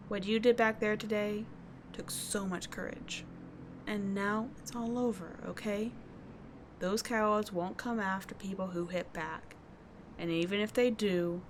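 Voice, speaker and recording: A woman's voice: mid-range voice, a little hiss or hum, close to an everyday voice. A woman playing a tough but caring teenage delinquent schoolgirl in an ASMR roleplay, speaking in a slangy, blunt yet soothing way. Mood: soothing, protective. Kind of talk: ASMR roleplay audio drama.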